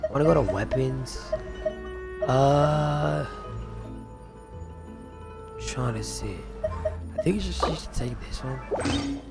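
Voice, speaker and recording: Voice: medium pitch, slow, gravelly, pauses often. A game streamer giving casual, informal livestream commentary, muttering along while playing. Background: game music.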